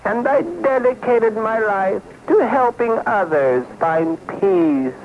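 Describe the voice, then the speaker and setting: High, slow, pompous mystic guru. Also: male voice, lively intonation, full character voice. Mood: solemn, lofty. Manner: slow, solemn, preachy delivery. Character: serene, pompous male mystic guru, easily affronted. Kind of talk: comic character phone-in to a radio show. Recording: dull lo-fi radio aircheck with hiss.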